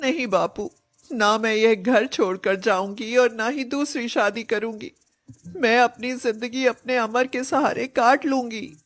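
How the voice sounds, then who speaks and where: A woman's voice: a little high, steady tone, measured pace, lightly acted. A woman voicing a long-suffering widowed village mother, tender but firm and plaintive, in a cartoon story. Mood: resolute.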